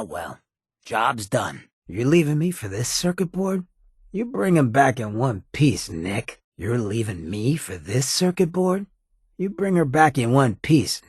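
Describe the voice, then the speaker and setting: Raspy, swaggering ghoul rogue. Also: male voice, lowish voice, no echo, studio quality, full character voice. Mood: bitter. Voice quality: heavily raspy, gravelly. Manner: sardonic, slangy, drawling swagger. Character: swaggering roguish ghoul man, streetwise gang boss. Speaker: video game voice actor. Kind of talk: game companion dialogue lines.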